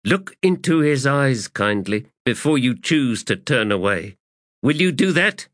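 A man's voice: medium pitch, sing-song, measured pace, fairly bright tone, no room sound, studio quality, voice pushed up. A man reading aloud voices a stern woman commander who speaks harshly and presses hard questions. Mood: urging. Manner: harsh, rising to fierce questioning.

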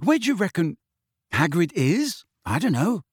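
A man performs a teenage boy in a storybook, blunt and loyal but easily baffled. The voice jumps up high and swings about with incredulous worry. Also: big pitch swings, normal speed, slightly rough, no echo, clean studio sound, full character voice. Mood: worried. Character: gruff, bewildered teenage boy, loyal and worried. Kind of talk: audiobook character acting.

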